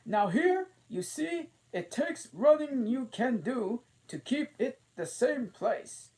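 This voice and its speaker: A man voicing an impatient, bossy queen in a storybook reading, with shrill, loud commanding shouts. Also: big pitch swings, slow, exaggerated voice. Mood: brisk commanding. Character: bossy shrieking queen, grown woman, impatient.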